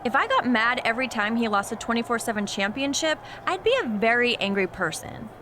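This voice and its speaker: A woman voices a self-assured, friendly female wrestler for a video game. Her voice is a little high and moves around in a lively, conversational way, over faint background noise. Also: brisk, close to an everyday voice. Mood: friendly, wry. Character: confident, friendly female pro wrestler asking a favor. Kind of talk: video game cutscene acting.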